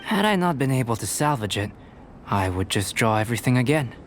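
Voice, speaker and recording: An actor playing a reserved, scholarly young male alchemist in a video game, speaking in formal, measured, deliberate phrases. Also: medium pitch, big pitch swings, music in the background, lightly acted. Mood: composed, matter-of-fact. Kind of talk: scripted video game dialogue.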